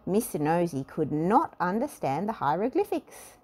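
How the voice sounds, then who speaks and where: A woman reading a children's storybook aloud in an expressive, deliberate way. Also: on the low side, slightly rough. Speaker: storybook reader. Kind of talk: scripted children's storybook narration.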